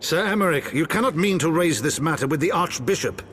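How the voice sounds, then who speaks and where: A man playing a wary, proper highborn lord, speaking as a formal, cautioning counsellor in a fantasy game. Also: animated, brisk, fairly bright tone, soft background music. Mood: warning.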